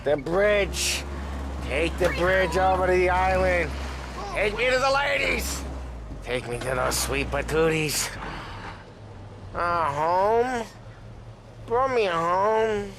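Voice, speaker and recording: An actor playing a drunk, sentimental gangster in a video game, rambling and slurring in a car. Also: male voice, high-pitched, lively intonation, slow, gravelly, long pauses, background noise, heavily acted. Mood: rowdy. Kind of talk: video game cutscene acting.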